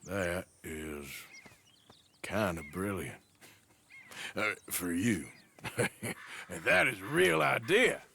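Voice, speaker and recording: An actor playing a gruff, sardonic American cowboy outlaw in a video game cutscene, with a dry, mocking manner. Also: male voice, deep voice, animated, takes it slowly, pauses often, bright, forceful tone, slight background noise, exaggerated voice. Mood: amused.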